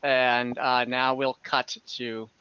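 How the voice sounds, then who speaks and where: A man improvising narration as game master of an online tabletop game, haltingly searching for words. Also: mid-range voice, little pitch movement, sharp tone, smooth, no room sound, muffled recording.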